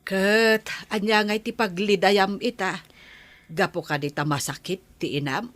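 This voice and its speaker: Slow actress as caring old grandmother. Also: mid-range voice, fairly even intonation, fairly bright tone, no room sound, lightly acted. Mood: concerned. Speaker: actor in a drama. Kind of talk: Ilocano audio drama acting.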